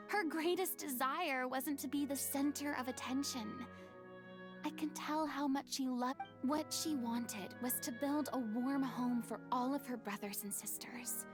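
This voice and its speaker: A woman voicing a perceptive, bossy young female peacemaker in a video game, firm and earnest, over faint music. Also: high voice.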